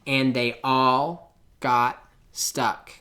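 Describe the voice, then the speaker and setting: A man reading a children's picture book aloud in an expressive, theatrical way, with deliberate pauses. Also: medium pitch. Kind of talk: children's storybook read-aloud.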